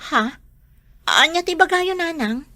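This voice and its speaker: A woman's voice: very high-pitched, expressive, unhurried, pushed and bright, dry recording, full character voice. A woman playing a dutiful young daughter in an Ilocano radio drama. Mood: surprised, questioning. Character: dutiful young daughter, startled then accepting.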